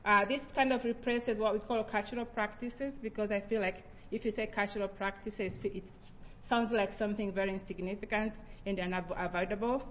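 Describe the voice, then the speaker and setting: Middle-aged woman from Tanzania, Haya and Swahili speaker, reflective research talk, mid pitch. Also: fairly even intonation, a little fast, muffled recording, a little hiss or hum. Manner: earnest, reflective, with frequent restarts. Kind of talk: presentation talk.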